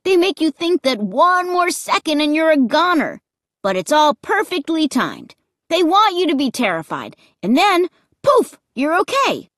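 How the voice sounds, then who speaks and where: A woman voicing an excitable, cocky, thrill-loving young boy in a children's audiobook, eager and emphatic. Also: sits high, normal speed, no room sound, studio quality. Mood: eager, insistent.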